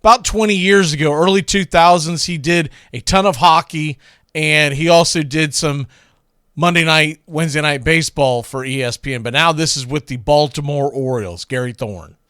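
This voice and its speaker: A man with a smooth, clear, slightly high voice hosts a casual radio show. He introduces sports clips in a dry, close-miked sound. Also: normal speed, bright, forceful tone, no room sound.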